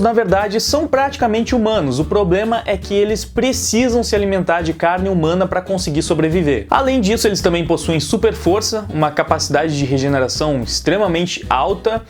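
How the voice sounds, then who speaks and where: A man's voice: high-pitched, a little mellow. A man with a Brazilian accent hosting a casual, scripted video review in an informal, conversational, slangy way. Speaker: YouTube host and anime reviewer.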